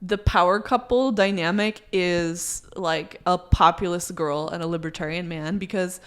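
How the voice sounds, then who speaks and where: A woman's voice: fairly low pitch, fairly even intonation, unhurried, clear, resonant tone. A young adult woman chatting casually as a podcast co-host, saying "like" often and laughing easily. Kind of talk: casual chat.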